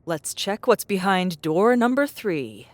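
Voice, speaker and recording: A woman's voice: medium pitch, very animated, slow, no echo. A woman playing a tall, confident, pragmatic young adventurer in a dramatised science fiction audiobook, speaking in a matter-of-fact, dryly assured way. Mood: brisk, upbeat.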